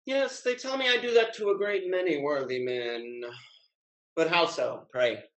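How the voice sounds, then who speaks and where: A man's voice: a little high, unhurried, fairly bright tone, poor audio. A young male actor playing a breezy, irreverent rake of a younger man in a jaunty period stage comedy. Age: young adult. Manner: jaunty, mocking period speech. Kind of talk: Restoration-style stage comedy over video call. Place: home room with bookshelves, video call.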